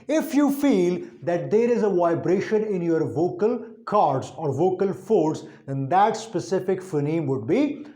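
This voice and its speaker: A man giving an instructional phonetics lesson as a lecturer, explaining in a deliberate, emphatic way and repeating key points. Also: sits high, very animated, warm tone. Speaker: linguistics lecturer.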